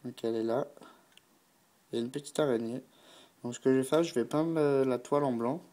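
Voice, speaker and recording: A man's voice: mid-range voice, brisk, warm tone. A man with a stuffy, blocked nose from a cold, unhurriedly talking through a painting tutorial and thinking aloud with many fillers. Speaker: miniature painting hobbyist.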